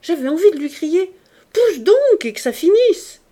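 A woman's voice: on the high side, sing-song, moderate pace, mellow, breathy edge. A woman voicing a snobbish male valet who gossips with disdain. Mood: disdainful.

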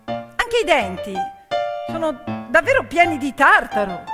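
A woman's voice: high voice, very animated, unhurried, in a large hall, music in the background, pitched above the performer's own voice. A woman voicing a bold, curious, cheeky girl in theatrical fairy-tale storytelling. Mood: cheeky, critical.

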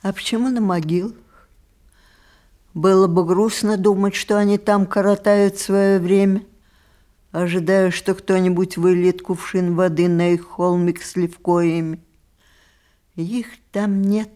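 An actress playing a very old grandmother in a radio play, wise and sharp-tongued, with a measured, reflective delivery. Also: on the low side, fairly even intonation, close to an everyday voice. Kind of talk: theatrical radio play acting.

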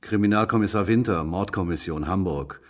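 An actor playing a brisk, persistent police detective in a German radio drama, with a businesslike, probing manner. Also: male voice, low-pitched, a little hiss or hum, close to an everyday voice.

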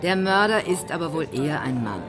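A woman's voice: low voice, very animated, fairly quick, over music. An actress playing an opinionated, methodical female amateur sleuth in a German radio play, declamatory and pedantic. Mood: emphatic, deducing.

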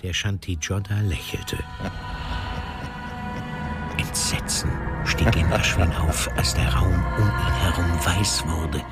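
A man playing the calm narrator of a fantasy radio drama, reading scripted prose. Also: low-pitched, takes it slowly, pauses often. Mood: storytelling. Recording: music behind the voice.

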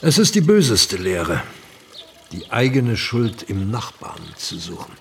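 An actor playing a frail, courteous old Turkish gentleman in a radio play, speaking formally and with weight, a pious and grave sage. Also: lowish voice, sing-song, vocal fry, far from the mic, slight background noise, exaggerated voice. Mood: grave, warning. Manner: slow, deliberate, formal, weighty.

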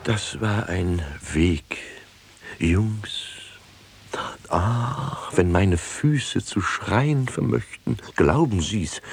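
A footsore, grumbling master, in a comic radio play. The voice is rough and husky, and it swings widely in pitch. The delivery is unhurried and theatrical. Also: male voice, exaggerated voice. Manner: expansive grumbling with swooping emphasis. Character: footsore, grumbling master back from a journey to the heavens.

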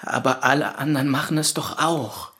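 An actor playing a sullen, needy thirteen-year-old country boy in a German audio drama, with a husky voice. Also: mid-range voice, steady tone, normal speed, bright, forceful tone. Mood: sullen, defiant.

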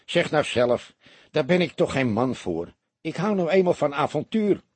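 A man acts out a small-time male crook from an audiobook. He is cheeky and quick to defend himself, grumbling in street talk. The voice is very husky and jumps around in pitch. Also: very animated, darkish tone, no echo. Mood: plaintive, defensive.

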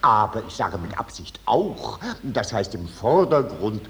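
An actor playing a pompous, indignant lawyer, orating unctuously in a 1950s German radio drama on a slightly hissy recording. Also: male voice, fairly low pitch, sing-song, unhurried, a bit bright, heavily acted. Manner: oratorical, unctuous.

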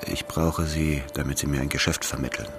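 Radio drama: enigmatic fatherly elder, extremely low creaky voice, measured delivery, music underneath. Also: steady tone. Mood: urgent, businesslike. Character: mysterious fatherly older man, cryptic and businesslike. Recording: music behind the voice.

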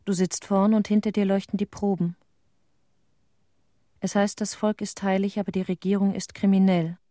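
Woman acting mellow-voiced troubled inspector lady, radio play monologue, measured and reflective, dry studio sound. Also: medium pitch, little pitch movement, no echo, lightly acted. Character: reflective female nature-protection inspector, guilt-troubled witness.

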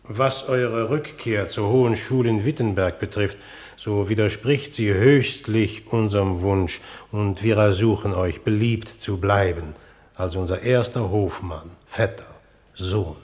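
An actor playing an authoritative, smooth-talking middle-aged king in a classical radio drama, speaking formally and with regal measure. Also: fairly low pitch, measured pace, darkish tone, natural voice. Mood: stern, courtly. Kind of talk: classical German stage-verse radio drama acting.

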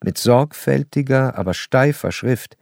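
An actor playing an educated, curious gentleman who narrates a literary radio play in a measured, articulate way. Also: mid-range voice, gravelly, no echo. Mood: observant, intrigued. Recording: studio radio play over faint violin music.

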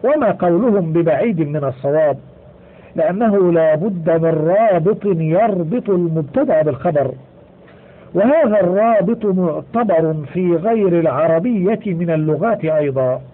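Man, high voice, tinny phone-like sound, measured textbook reading. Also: lively intonation. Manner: measured, slows to stress example sentences. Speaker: audiobook reader. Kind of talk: reading an Arabic grammar textbook aloud.

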